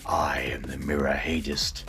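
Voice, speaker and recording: A male narrator puts on a sinister masked male villain in an audio comic. The voice is very deep and sinks into a breathy whisper. He speaks with grandiose menace over music. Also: steady tone, takes it slowly, bright, forceful tone, full character voice. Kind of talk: audio comic storybook acting.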